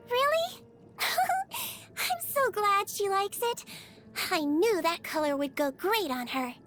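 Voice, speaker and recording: A woman playing a small, sweet, earnest creature who works as a nurse in a video game, gentle and bubbly with an innocently clinical manner. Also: extremely high pitch. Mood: delighted. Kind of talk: video game character voice lines. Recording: music behind the voice.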